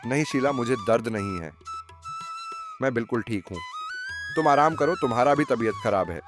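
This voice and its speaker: A man's voice: medium pitch, lively intonation, normal speed, music in the background, natural voice. A man voicing a shrewd farmer husband in a moral story cartoon, theatrical and plaintive. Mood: reassuring, plaintive. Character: shrewd farmer husband feigning injury, patient.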